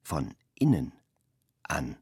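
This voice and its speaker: Very deep, husky man, extremely slow recitation. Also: sing-song, darkish tone. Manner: halting, fragmented, stylised phrasing. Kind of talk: experimental sound-poetry recitation in a radio play.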